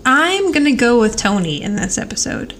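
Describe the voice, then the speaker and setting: A woman's voice: a little high, big pitch swings, moderate pace, a bit bright. A woman co-hosting a podcast in a casual, chatty way. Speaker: podcast co-host. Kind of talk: casual chat. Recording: faint background noise.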